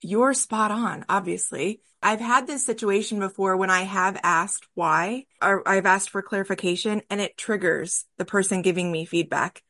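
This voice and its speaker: A woman's voice: mid-range voice, steady tone, moderate pace, few pauses, bright, forceful tone, no room sound. A woman hosts an interview conversation and talks in an earnest, emphatic way. Her voice sits in the middle range, and the recording is dry and close. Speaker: podcast host.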